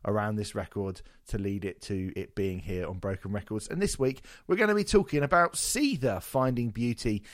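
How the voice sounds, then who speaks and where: Slightly hoarse, sweary male podcast host. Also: on the low side, moderate pace, hardly any pauses, dry recording, studio quality. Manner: sweary, self-deprecating, jokey. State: slightly hoarse, sore throat from singing. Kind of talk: casual music podcast chat.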